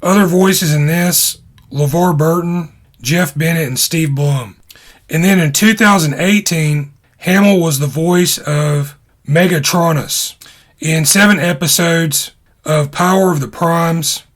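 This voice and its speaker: A man hosting an online video, narrating his explanations in a measured way, in short phrases. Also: on the high side. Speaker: YouTube host.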